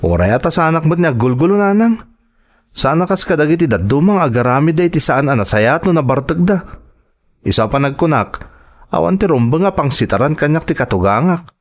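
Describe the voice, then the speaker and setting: A man's voice: a little high, animated, a little fast. A man playing a stubborn, hard-drinking young husband and son in an emotive Ilocano drama. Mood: defensive, indignant. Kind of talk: Ilocano radio-style drama acting.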